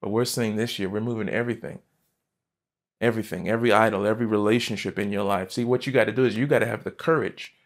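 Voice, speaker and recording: A man in his thirties, a pastor preaching a sermon from a church stage, fervent and emphatic, jumping from loud bursts to quiet asides. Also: fairly low pitch, steady tone, fast. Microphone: headset mic.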